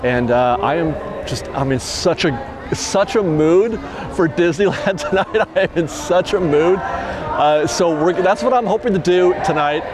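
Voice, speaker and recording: A man's voice: on the high side, a little slow. A man vlogging outdoors at a theme park at dusk, talking in a reflective, rambling, sentimental way on a lapel mic. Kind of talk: casual walking vlog talk. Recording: outdoor crowd chatter behind.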